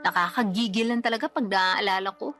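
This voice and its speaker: A woman's voice: medium pitch, brisk, fairly bright tone, lightly acted. A woman playing an exhausted, resentful young single mother in a radio drama, over faint music. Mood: weary. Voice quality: weary, complaining, defensive. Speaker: the letter sender in the scene. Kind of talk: Tagalog radio drama acting. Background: faint background music.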